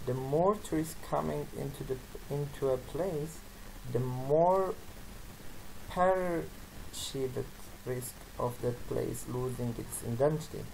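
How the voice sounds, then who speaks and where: Slide presenter, non-native English speaker, creaky voice, halting read, noisy amateur recording. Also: medium pitch, warm tone. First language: not English.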